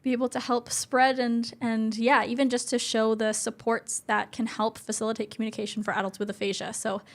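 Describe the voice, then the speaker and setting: A woman's voice: on the high side, little pitch movement, a little fast. Young woman, earnest explainer. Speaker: interview guest, communication support specialist. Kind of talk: explanatory interview talk.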